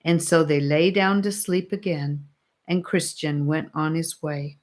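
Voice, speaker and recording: A woman narrating an old religious allegory as an audiobook, with measured, solemn storytelling. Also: low-pitched, fairly even intonation. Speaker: audiobook reader.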